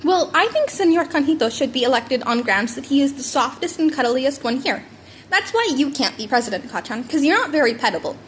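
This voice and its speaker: A woman's voice: high-pitched, expressive, fairly quick. A woman voicing an eager, stubborn and cheeky teenage schoolboy in an amateur audiobook. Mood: playful. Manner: eager, insistent, playful. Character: bubbly, stubborn, cheeky teenage boy student. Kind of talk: amateur audiobook character reading. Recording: home recording with background noise.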